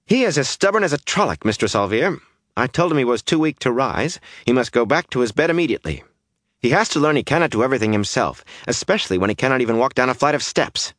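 A man's voice: mid-range voice, normal speed, no echo, clean studio sound, pitched above the performer's own voice, close to an everyday voice. A man voicing a fiery, commanding young woman in an audiobook, speaking firmly and urgently. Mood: exasperated. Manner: firm, forceful, commanding. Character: fiery, urgent young woman scolding her stubborn companion.

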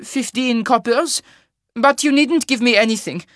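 A man puts on an old woman baker from a fantasy audiobook, raised high in pitch and slow in delivery. She is plain-spoken and kindly, with grief in her plaintive voice and a strong accent. The recording is close and dry. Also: a bit bright, no room sound. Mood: gently insistent. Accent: strong foreign-sounding fantasy accent.

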